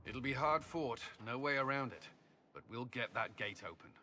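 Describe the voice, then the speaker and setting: An actor voicing a confident male army commander who speaks briskly and with assurance. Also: medium pitch, expressive, pushed and bright, a little hiss or hum. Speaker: military commander.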